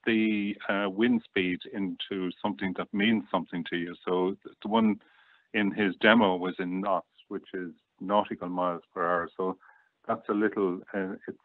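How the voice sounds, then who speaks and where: Very deep male guest, phone-like sound. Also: steady tone, moderate pace, no echo. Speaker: guest app reviewer. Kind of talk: casual explanatory chat. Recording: tinny phone-like line, very dry.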